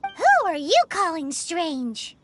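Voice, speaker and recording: A woman voicing a small, excitable, naive fairy girl with a squeaky childlike voice in a video game. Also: slow, soft background music, heavily acted. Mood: indignant. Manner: refers to self in third person, exclaiming.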